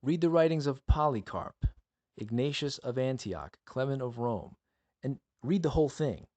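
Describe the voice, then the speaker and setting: A man delivers an earnest Bible lesson as a solo podcast host. His voice sits at a medium pitch. He speaks emphatically, leaning on rhetorical questions and repeated appeals to the listener. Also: lively intonation, moderate pace, darkish tone.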